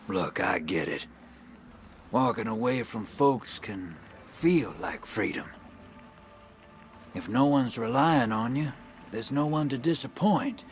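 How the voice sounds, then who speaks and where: Sly drifter, slow swooping drawl. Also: male voice, mid-range voice. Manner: folksy, streetwise drawl. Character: worldly, sly male drifter, weathered survivor. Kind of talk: video game dialogue acting.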